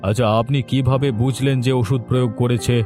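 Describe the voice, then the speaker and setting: Cartoon police officer, male actor: dutiful, authoritative, self-assured, medium-pitched, over music. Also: little pitch movement, quick pace, close to an everyday voice. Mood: resolute. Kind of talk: Bengali cartoon voice acting. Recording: music behind the voice.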